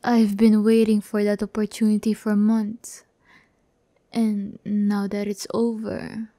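A woman playing a slow, haughty demon girl. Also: medium pitch, warm tone, no echo. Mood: smug, weary. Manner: slow, condescending, teasing, often dropping to a whisper. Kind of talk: breathy ASMR audio roleplay. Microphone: close microphone, dry sound.

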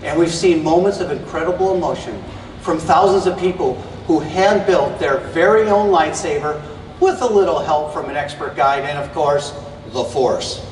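A man's voice: fairly high pitch, normal speed, a little mellow, slight background noise. A middle-aged man presenting on stage at an expo panel, giving an earnest, enthusiastic scripted presentation. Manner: enthusiastic, earnest promotional delivery. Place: large arena stage, heard through the hall PA. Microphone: stage mic recorded from the audience. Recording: echoey arena from far off, faint crowd ambience.

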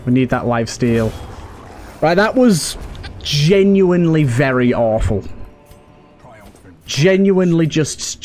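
Guy doing a lets play, slightly high voice, worried and exasperated muttering, repeats himself, faint music behind. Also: darkish tone. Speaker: gaming YouTuber and host. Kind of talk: casual let's play commentary, reacting to gameplay. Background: game music.

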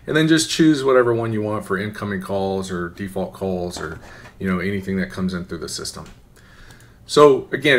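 A man giving an informal, conversational software tutorial, often checking in with a quick "right?". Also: fairly low pitch. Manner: conversational, instructional, often tagging phrases with "right?". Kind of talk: informal screen-share walkthrough. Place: voice-over for a screen recording.

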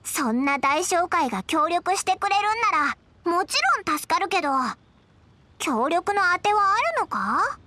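A woman voicing a small, childlike fairy girl companion in an anime game, squeaky, blunt and teasing. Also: lively intonation, moderate pace, sharp tone, exaggerated voice. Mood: curious, doubtful. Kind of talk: Japanese anime game voice acting.

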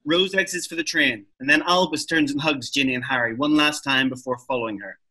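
A man's voice: mid-range voice, fairly quick, bright, forceful tone. A man reading stage directions as scripted narration for a table read over video call. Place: room at home, video call.

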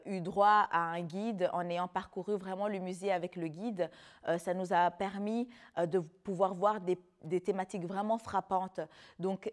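A woman slam artist, speaking as a spoken word performer. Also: mid-range voice. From Belgium (Brussels), of Rwandan descent. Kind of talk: spoken word performance alternating with interview talk.

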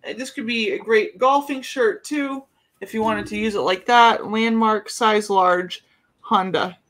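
A woman's voice: medium pitch, unhurried, a bit bright. A woman in her thirties hosts a live clothing auction from a small bedroom at home. She describes each item in a loose, rambling way, full of fillers and asides. The sound is that of a webcam at her desk. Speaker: online auction host.

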